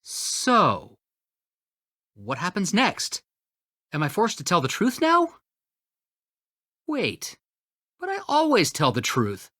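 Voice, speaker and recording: An actress playing an eager, naive, honest young character in a video game, wide-eyed and curious. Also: female voice, fairly low pitch, wildly swooping pitch, slow pace, long pauses, a bit bright, no echo, studio quality, full character voice. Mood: eager, puzzled.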